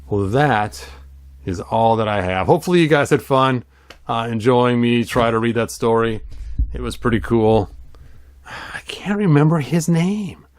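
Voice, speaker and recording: A man's voice: mid-range voice, no room sound, clean studio sound. A middle-aged man giving a casual, playful, rambling read-along commentary as the host. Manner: playful, rambling, self-amused, adds sung sound effects. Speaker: host of a toy and storybook channel.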